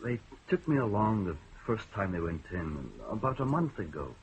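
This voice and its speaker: A nervous clerk in debt. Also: male voice, lowish voice, sing-song, normal speed, slight background noise. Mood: explaining. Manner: anxious, hesitant. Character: nervous bank clerk in debt, worried man. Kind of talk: 1970s radio drama acting. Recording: muffled old radio broadcast.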